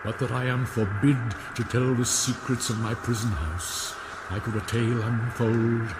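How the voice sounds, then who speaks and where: A man's voice: medium pitch, fairly even intonation, moderate pace, heavy background noise, close to an everyday voice. A man playing the tormented ghost of a murdered royal father in a radio drama, grave and portentous. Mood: solemn, tormented. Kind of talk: classical radio drama acting.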